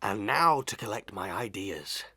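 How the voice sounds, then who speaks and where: A blustering, pompous old village schoolmaster in Victorian melodrama. His bright, forceful voice swoops widely in pitch and takes its time, with theatrical hems and drawn-out words. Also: animated, heavily acted. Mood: sententious. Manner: theatrical, hemming, drawn-out, full of stock sayings. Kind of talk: Victorian melodrama audio acting.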